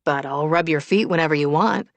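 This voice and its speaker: A woman's voice: sits low, brisk, no echo. Woman voicing an earnest, tender young husband. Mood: warm, teasing. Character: devoted young firefighter husband, earnest and teasing.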